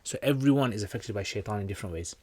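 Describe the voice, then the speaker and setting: A man's voice: lowish voice, very fast, darkish tone, husky. A man teaching and hosting a livestream, chatting casually with viewers in a jokey, rambling way with slangy asides. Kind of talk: casual livestream chat, answering viewer comments.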